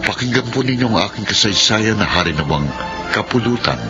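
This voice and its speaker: Man acting deep-voiced remorseful Filipino father, ex-convict. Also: lively intonation, fairly quick, natural voice. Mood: solemn, testifying. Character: remorseful ex-convict father, emotional, protective of his family. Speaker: radio drama actor. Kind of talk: Filipino radio drama acting. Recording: dull lo-fi broadcast, loud music behind.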